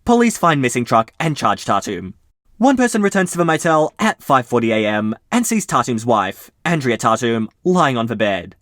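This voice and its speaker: A man hosting his channel, reading mystery posts aloud and breaking off for casual asides in a lively storytelling way. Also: mid-range voice, normal speed, dry recording, studio quality.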